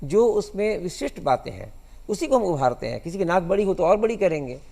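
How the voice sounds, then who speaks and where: A man speaks as an interview guest. His voice sits fairly high and is mellow, with a strong creak. He explains things in a halting, piecemeal way. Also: very animated. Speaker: guest cartoonist. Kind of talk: studio interview.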